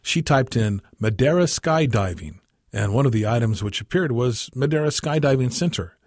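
Man, story reader, husky voice, medium pitch, dry sound, scripted narration. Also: lively intonation, moderate pace, darkish tone, no echo.

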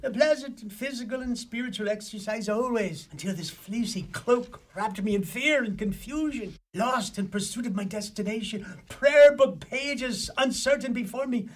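An actor plays an Irish village priest in a radio drama, with a high-pitched voice. He is superstitious and portentous, speaking in florid, ominous phrases. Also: moderate pace, few pauses. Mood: ominous, fearful. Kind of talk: radio play acting.

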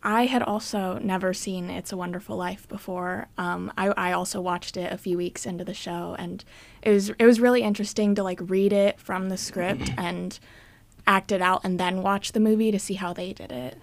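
A woman's voice: mid-range voice, steady tone, normal speed, gravelly, no room sound. A young woman, an actress, chatting casually in an interview.

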